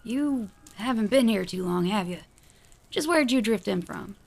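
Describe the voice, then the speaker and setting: A woman's voice: medium pitch, expressive, unhurried, gravelly, darkish tone. An actress playing a skeptical, world-weary homeless woman in an audio drama, dry and matter-of-fact. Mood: dry skepticism.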